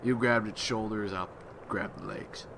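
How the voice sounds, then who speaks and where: A man playing a grim, vengeful vampire hunter in an ASMR roleplay, speaking in a solemn, brooding and deliberate manner. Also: on the low side, vocal fry. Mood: businesslike, grim. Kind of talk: ASMR roleplay, dramatic monologue. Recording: loud ambient noise behind voice.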